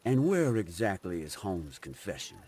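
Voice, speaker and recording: An actor playing a haughty, touchy American cavalry officer in a game cutscene, pompous and commanding. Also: male voice, low voice, very animated, normal speed, dark tone. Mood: imperious. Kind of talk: video game cutscene acting.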